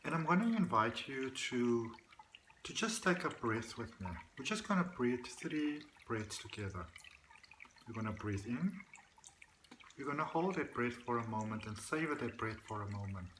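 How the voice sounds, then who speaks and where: A man leading a guided meditation, speaking to the listener in a deliberate, soothing way with repeated phrases. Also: mid-range voice, expressive, a bit bright, a little hiss or hum, poor audio. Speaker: guided meditation practitioner and host.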